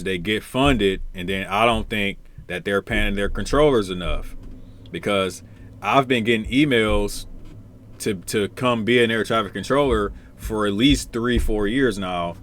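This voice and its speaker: Low-voiced American man in casual commentary. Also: unhurried, fairly bright tone. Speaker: commentator, former air traffic controller. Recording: noticeable background noise.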